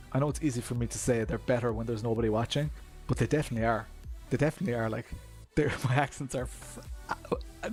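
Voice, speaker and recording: An Irish man with a mid-pitched voice chats casually with viewers on a sim racing stream. He is self-deprecating and laughs easily, and faint music plays underneath him. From Ireland. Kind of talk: casual live-stream chat.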